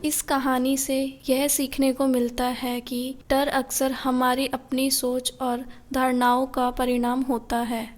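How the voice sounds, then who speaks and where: High-pitched, unhurried woman storyteller. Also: flat delivery, faint music behind. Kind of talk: scripted children's story narration.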